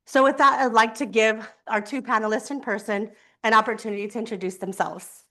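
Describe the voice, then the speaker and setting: A woman's voice: a little high, steady tone, a little fast, sharp tone. Earnest woman moderating a panel. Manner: earnest, scripted with small restarts. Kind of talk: event moderation.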